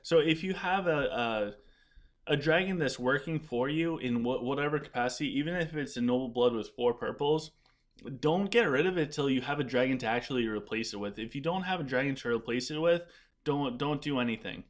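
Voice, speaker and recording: Casual gaming streamer, voice going hoarse. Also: male voice, mid-range voice, brisk. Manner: chatty, rambling, frequent restarts while playing. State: losing his voice. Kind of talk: casual live-stream commentary.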